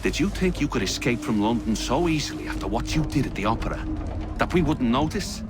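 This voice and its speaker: A man's voice: medium pitch, very animated, a little fast, close to an everyday voice. Man playing a smug villain with swooping intonation. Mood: smug, taunting. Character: smug, menacing traitor villain, formally courteous. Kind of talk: dramatic video game cutscene acting.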